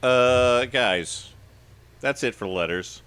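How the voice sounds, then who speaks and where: A man's voice: medium pitch, takes it slowly, background noise. A man co-hosting a comedy podcast in casual chat, rambling and self-deprecating, often laughing at himself. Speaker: podcast co-host.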